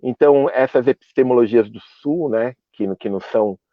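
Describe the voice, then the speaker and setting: A man's voice: mid-range voice, moderate pace, no echo, highs cut off. A man with a Brazilian Portuguese accent, speaking on a video call as a panel speaker, explaining his ideas reflectively and often checking in with the listener.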